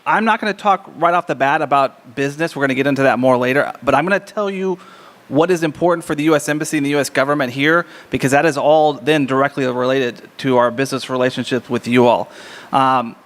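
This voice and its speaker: Male guest speaker, American accent, booming medium-pitched voice, candid relaxed wording, long room reverb. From United States. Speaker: embassy diplomat, guest speaker. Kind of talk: informal public address. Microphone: lectern microphone. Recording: echoey reverberant hall.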